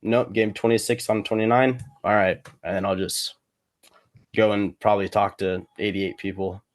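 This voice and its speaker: Young man, low voice, hesitant rambler. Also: fairly even intonation, normal speed, no echo. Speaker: guest cornhole player. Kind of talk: casual podcast interview chat.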